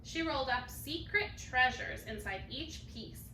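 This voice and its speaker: A woman with a high voice reads a children's storybook aloud, sounding very bright and crisp in tone. She is expressive and animated, as in a bedtime read-aloud. The recording is roomy and a little distant from the microphone. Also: unhurried. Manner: animated, acts out characters' lines. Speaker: storybook reader. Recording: roomy, distant mic, faint noise.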